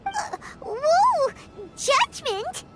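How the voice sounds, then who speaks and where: A woman voicing a tiny, excitable fairy companion in a video game, squeaky and childlike, cheeky and nervous. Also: extremely slow, loud music behind the voice, exaggerated voice. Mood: flustered. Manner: exclamatory, speaks of herself in third person.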